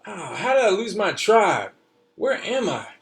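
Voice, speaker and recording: A man voicing an excited little boy at Mardi Gras, eager and wide-eyed, hollering in a singsong way. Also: high-pitched, a little slow, vocal fry, far from the mic, voice pushed up, heavily acted. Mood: wondering.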